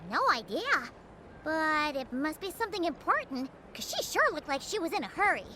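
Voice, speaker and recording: Tiny excitable fairy sidekick, very high squeaky voice, swooping delivery, anime game, music behind. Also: female voice, normal speed, noisy background. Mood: worried, puzzled. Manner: exclamatory, refers to herself in third person. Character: small excitable squeaky fairy companion, girlish. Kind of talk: anime video game voice acting.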